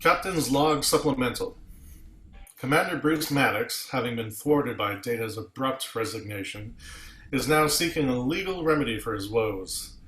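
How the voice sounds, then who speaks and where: Man acting a bright-voiced, principled starship captain, echoey room. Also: mid-range voice, normal speed, close to an everyday voice. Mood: firm. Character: principled, indignant male starship captain defending his officer. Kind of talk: science fiction script table read.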